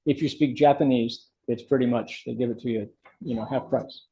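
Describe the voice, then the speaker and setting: An older man teaches a class in a relaxed, chatty way, breaking off and restarting his sentences as he talks. His voice is mellow and a little dull, as if recorded with a modest mic. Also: medium pitch, fairly quick, a little hiss or hum. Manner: chatty, affirming, many restarts. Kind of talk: casual class discussion.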